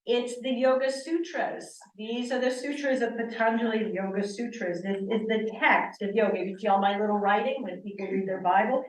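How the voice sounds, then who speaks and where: A woman's voice: mid-range voice, moderate pace, hardly any pauses, echoey room, far from the mic, poor audio. Earnest woman in her sixties teaching yoga. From Connecticut, US East Coast. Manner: earnest, animated, asks rhetorical questions. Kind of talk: church talk on yoga. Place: church hall.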